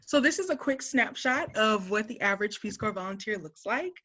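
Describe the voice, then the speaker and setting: Upbeat bright-voiced woman presenter. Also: medium pitch, expressive, a little fast. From Montgomery, Alabama, US. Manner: upbeat and earnest, laughs lightly between points. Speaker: recruiter. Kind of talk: informational presentation to students.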